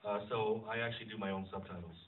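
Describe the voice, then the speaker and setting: Distant, low-voiced man answering haltingly. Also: steady tone. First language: Vietnamese or English, trilingual. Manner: halting, thinking aloud. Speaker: film director answering audience questions. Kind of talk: unscripted Q&A answers. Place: screening venue with audience. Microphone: distant handheld phone camera. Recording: phone-like muffled audio, distant, faint noise.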